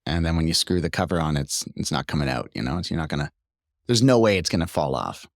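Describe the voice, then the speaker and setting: A man's voice: a bass voice, lively intonation, fast, no room sound, clean studio sound. A man hosting a podcast in a casual, informal and upbeat interview chat, with hesitant phrasing. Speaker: podcast host.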